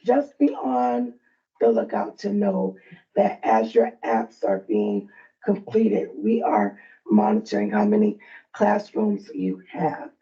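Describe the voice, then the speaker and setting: A woman with a soft, raspy voice presenting updates at a provider meeting in a friendly, loose way. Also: medium pitch, animated, slow, lo-fi sound. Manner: friendly, loose, with frequent fillers. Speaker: early learning coalition staff presenter.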